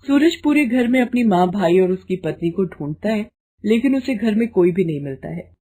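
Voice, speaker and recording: A woman narrates a scripted family tale. Her voice is mid-pitched, mellow and a little husky, in a storyteller's delivery. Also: animated, normal speed.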